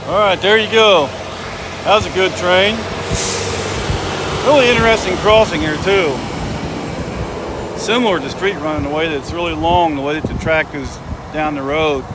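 A man's voice: a little high, normal speed, long pauses, noisy background. A middle-aged man casually narrating trains and tracks outdoors, pointing things out to viewers as he films with his phone. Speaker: railfan vlogger. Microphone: phone camera held by the speaker.

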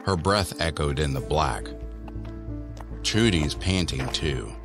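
Very deep-voiced male horror narrator over music. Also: animated, slow. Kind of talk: scripted horror story narration. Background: music under the voice.

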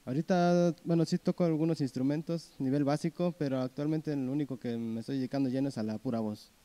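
A man in his twenties, a singer-songwriter answering interview questions in a relaxed way with many fillers, on a handheld mic in a small room. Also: medium pitch, fast. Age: 20s.

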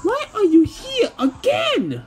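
A man voicing an irate, jumpy pizza chef in an amateur cartoon comic dub, excitable and indignant. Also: very high-pitched, takes it slowly, background noise, full character voice.

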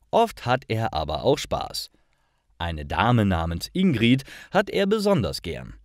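Slow, expressive low-voiced male story narrator. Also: dry recording, studio quality. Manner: careful, deliberate enunciation. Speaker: language-course narrator. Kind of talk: scripted story narration for language learners.

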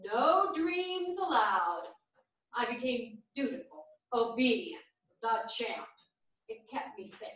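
A woman's voice: a little high, lively intonation, takes it slowly, fairly bright tone. A woman playing a dutiful, bitter perfectionist in a staged play reading, recorded at a distance in a theatre. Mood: bitter, emphatic. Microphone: far camera. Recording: echoey theatre, far from the microphone.

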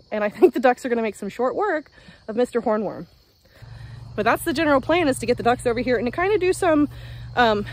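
Chatty high-voiced woman vlogging outdoors. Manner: chatty and upbeat, talking while doing yard work. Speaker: homestead vlogger. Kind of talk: casual vlog talk to a handheld camera. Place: outdoors in a backyard garden. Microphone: handheld phone at arm's length.

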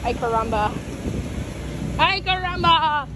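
Excitable teenage girl on a bouncy course. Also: high voice, slow pace, very noisy. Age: teens. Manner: breathless, excitable, squealing while climbing. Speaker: vlogger. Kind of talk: casual self-filmed commentary. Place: outdoor bounce house obstacle course. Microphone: body-worn action camera.

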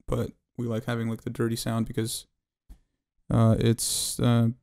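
A man presenting an unscripted software tutorial as its developer, explaining technical detail in a halting, hesitant way. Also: medium pitch, monotone, clean tone.

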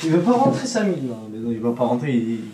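A young man vlogging casually at home, excitable and chatty, talking straight to his viewers and laughing often. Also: mid-range voice, fast talker, crowd noise. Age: young adult. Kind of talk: casual vlog to camera. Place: indoor bedroom at home. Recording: roomy echo, voice from off-mic.

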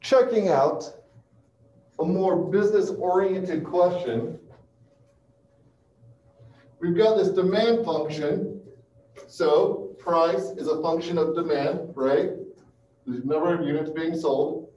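A man's voice: on the high side, dark tone. Man lecturing in a muffled, echoey classroom. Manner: halting, checks in with listeners. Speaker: math lecturer. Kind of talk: classroom calculus lecture, working through steps aloud. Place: small classroom with chalkboard and projector. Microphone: far camera. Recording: muffled, roomy, distant mic with hiss.

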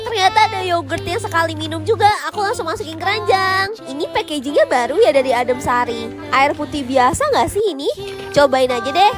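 Young woman, very high bright voice, chirpy shopping voice-over with music underneath. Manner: chirpy, quick product commentary. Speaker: shopping vlogger. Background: background music.

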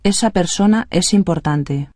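A voice reading short phrases as prompts in an even, neutral drill delivery. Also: mid-range voice, unhurried, no echo, clean studio sound. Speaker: Spanish phrase reader. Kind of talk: read-aloud language drill.

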